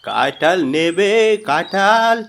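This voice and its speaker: Old, poor village seller: weary, drawn-out cartoon delivery, faint music behind. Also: male voice, on the high side, animated, vocal fry, a bit bright, exaggerated voice. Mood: weary, plaintive.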